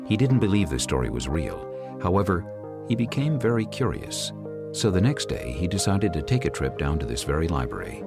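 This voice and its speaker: Man playing a deep, gravelly, courteous storyteller. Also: very animated, loud music behind the voice, exaggerated voice. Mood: measured storytelling. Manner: measured, explanatory storytelling. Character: unhurried storyteller narrator, courteous male. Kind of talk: audio drama narration.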